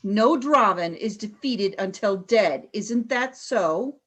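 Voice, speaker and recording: A woman's voice: medium pitch, animated, slow pace, a bit bright. A woman playing a cold, ruthless alien warrior leader in a radio play, giving imperious, clipped commands. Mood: cold, threatening.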